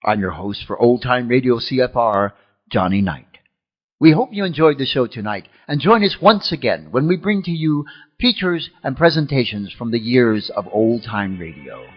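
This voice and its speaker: Husky radio host with swooping intonation. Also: male voice, mid-range voice, sing-song, moderate pace, highs cut off. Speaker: host of an old-time radio program. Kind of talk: scripted radio sign-off. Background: faint music.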